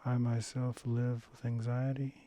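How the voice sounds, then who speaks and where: A man leading a guided meditation, giving gentle, unhurried instructions with long pauses between phrases. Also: medium pitch, level tone, no echo. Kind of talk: guided body-scan meditation, calm instruction.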